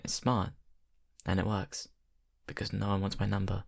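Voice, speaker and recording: A man's voice: low-pitched, completely flat delivery, moderate pace, gravelly. A man playing a brooding young vampire confiding in his best friend in an ASMR roleplay, hesitant and intimate. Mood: brooding, guarded.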